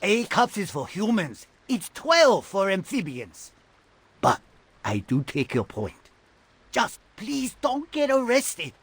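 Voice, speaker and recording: Fussy cartoon game rat father: high, gravelly, protective, fretful with dry sarcasm. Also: takes it slowly, heavily acted. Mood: fretful, exasperated. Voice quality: raspy, gravelly. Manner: animated, fretful, dryly sarcastic. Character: fussy, protective middle-aged rat father of turtle sons. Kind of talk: animated video game cutscene acting.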